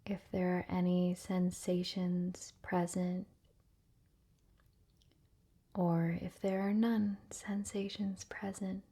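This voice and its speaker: A woman guides a relaxation meditation in a soft, breathy voice that often sinks to a near whisper. Her pitch is on the low side and stays very even, with a mellow, dark tone. She is gentle and soothing, leaving long pauses between phrases.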